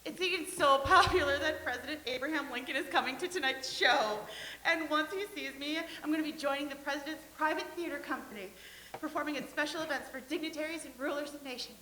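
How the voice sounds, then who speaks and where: A woman's voice: sits high, fast talker, pushed and bright, slight background noise. A woman playing a gushing, naive young actress. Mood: boastful. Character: vain, starry-eyed young stage actress, woman. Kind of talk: staged play reading. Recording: echoey room.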